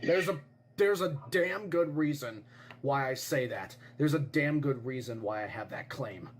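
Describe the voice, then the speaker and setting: A man playing an earnest eyewitness who tells a grave disaster theory in an insistent, suspenseful way with dramatic pauses. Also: mid-range voice, noisy background, lightly acted. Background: music behind the voice.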